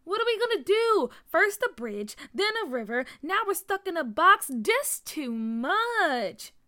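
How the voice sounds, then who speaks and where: A woman's voice: sits high, very animated, brisk, sharp tone, voice pushed up, full character voice. A woman voicing a dramatic, outspoken young girl in a children's story, emphatic and slangy. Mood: distressed.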